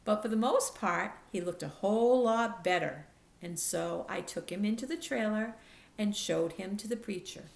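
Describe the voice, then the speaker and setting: A woman reading a children's chapter book aloud expressively, with careful, deliberate storytelling delivery. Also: medium pitch, gravelly, reverberant.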